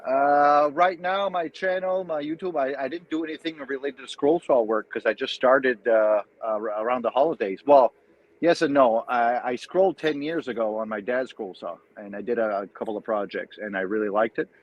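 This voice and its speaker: A man's voice: mid-range voice, normal speed, dry recording. Acadian french guy on a video call, lots of uhs and restarts, kinda dull tablet audio. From New Brunswick, Canada. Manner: hesitant, many fillers and restarts. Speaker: guest. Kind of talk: casual chat on a video call.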